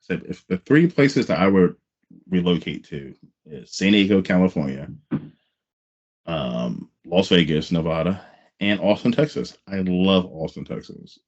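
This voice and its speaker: A man's voice: deep voice, very animated, measured pace, a little mellow, breathy edge, highs cut off. An American man hosting a casual interview, speaking with enthusiasm and repeating phrases for emphasis. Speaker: podcast host interviewer.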